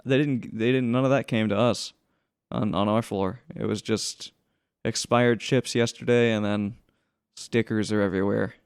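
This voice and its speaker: Male podcast co-host, smooth clear mid-range voice, casual and grumbling, halting delivery, very dry sound. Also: lowish voice, fairly even intonation, no room sound. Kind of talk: casual chat.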